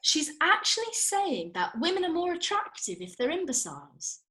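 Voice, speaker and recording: A woman's voice: extremely high pitch, big pitch swings, normal speed, pushed and bright, exaggerated voice, poor audio. A woman acting a feisty, blunt young working-class woman in a comedy script read, colloquial and sweary. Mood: indignant.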